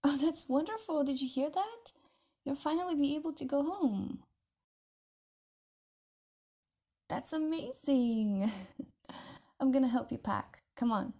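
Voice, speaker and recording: A woman playing a caring, tender partner in an intimate audio roleplay, talking casually in a soft, hesitant way with breathy near-whispers. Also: sits high, expressive, unhurried. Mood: excited, supportive. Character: caring, tender live-in partner of an amnesiac lover.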